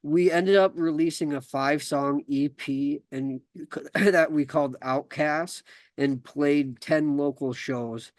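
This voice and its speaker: A young man from South Dakota hosts a podcast and shares his own story. His voice sits fairly high and sounds smooth, and he often pauses, fills gaps and restarts his sentences. The recording is close and dry. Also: steady tone, no room sound. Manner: halting, many fillers and restarts. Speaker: podcast host. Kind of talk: casual chat, then reading a personal story.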